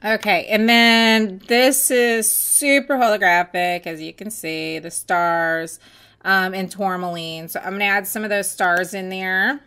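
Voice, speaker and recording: Slow, strained-voiced woman crafting. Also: mid-range voice, steady tone, sharp tone, clean tone. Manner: slow, chatty, many fillers, apologetic. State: losing her voice, hiccups. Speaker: nail art seller mixing glitter. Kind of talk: casual crafting voice-over.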